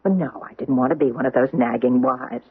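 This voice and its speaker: An actress playing a young widowed history teacher, wistful and grieving, speaking hesitantly and emotionally in an old-time radio drama. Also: female voice, a bass voice, a little fast, breathy edge, dry recording, heavily acted. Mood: anguished, guilty. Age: young adult. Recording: muffled, band-limited 1970s radio broadcast.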